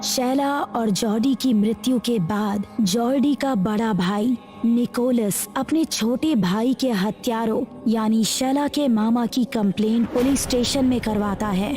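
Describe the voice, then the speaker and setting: Slow, high-voiced woman narrating horror. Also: warm tone, soft background music. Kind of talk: scripted horror story narration.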